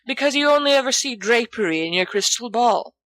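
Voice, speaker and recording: A woman's voice: on the high side, animated, brisk, fairly bright tone, dry recording, pitched above the performer's own voice, low-quality recording. A woman voicing a reserved, bookish teenage boy with dry, understated sarcasm in an audiobook. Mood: wry. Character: reserved, dryly sardonic, bookish teenage schoolboy. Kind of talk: audiobook character dialogue.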